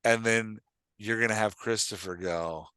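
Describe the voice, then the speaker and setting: A man hosting and coaching in an animated, conversational way, emphatic and often checking that he is being understood. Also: on the low side, measured pace, smooth, no room sound. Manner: acts out short example lines of dialogue. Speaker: podcast host and writing coach.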